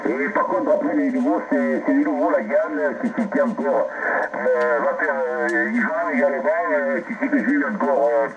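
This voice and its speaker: A man's voice: fairly high pitch, sing-song, moderate pace, vocal fry. A man from France chatting casually over amateur radio, rambling with many fillers and restarts. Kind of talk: casual radio contact chat. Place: home radio station. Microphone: received over a radio transceiver. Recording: lo-fi radio reception with loud noise.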